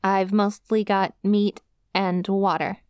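A woman's voice: mid-range voice, level tone, slow, darkish tone, smooth, no echo, studio quality, natural voice. A woman voicing a friendly, scatterbrained dog-girl student in an ASMR roleplay, with a casual, rambling, easily sidetracked manner. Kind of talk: ASMR roleplay, one-sided conversation with listener.

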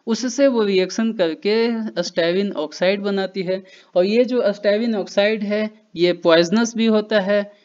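A man's voice: sits high, a little mellow, clean tone. A man giving a loose, conversational explanation over slides, with fillers and restarts. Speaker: educational channel presenter. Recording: dull lo-fi voice-over.

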